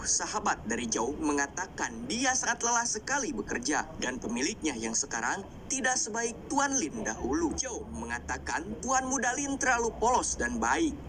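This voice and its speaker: A man's voice: a little high, background noise. A man with a slightly congested, flu-affected voice narrates a film recap in a dramatic storytelling style.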